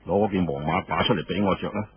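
A man voicing a stern, preoccupied middle-aged rebel king and father in an audio story, speaking curtly and gravely. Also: low voice, quick pace, voice pushed down, close to an everyday voice. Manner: curt, grave, commanding. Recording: dull lo-fi audio, faint noise.

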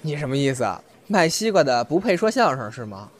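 A young man with a northern Mainland Chinese accent, acting a cocky, quick-tongued, open-hearted character in an audio drama. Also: medium pitch, very animated. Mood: breezy. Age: young adult. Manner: sparring, colloquial. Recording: music behind the voice.

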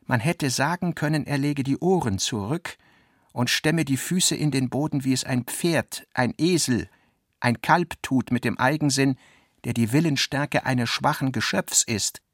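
A man narrating a literary radio drama in a deliberate manner, with a gravelly voice. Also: mid-range voice, no echo, studio quality.

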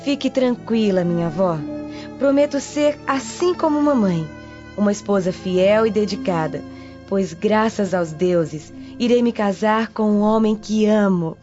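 A woman plays a devoted young bride-to-be in a Roman radio drama. Her mid-pitched voice is eager and dutiful, on a lo-fi recording with soft music. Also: measured pace, close to an everyday voice. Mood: earnest devotion. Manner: earnest, dutiful. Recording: dull lo-fi audio.

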